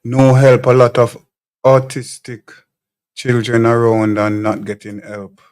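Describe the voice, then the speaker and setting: A man's voice: medium pitch, completely flat delivery, measured pace, darkish tone. A Jamaican man hosting a live talk show, reading viewer comments aloud and answering them bluntly, often restarting his phrases. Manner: blunt, combative replies to commenters; loose, repeats and restarts phrases. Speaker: show host.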